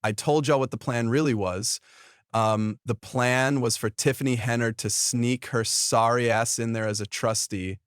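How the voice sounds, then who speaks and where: A man's voice: mid-range voice, clean tone, dry recording, clean studio sound. A man hosting online gossip commentary in a blunt, mocking, taunting way.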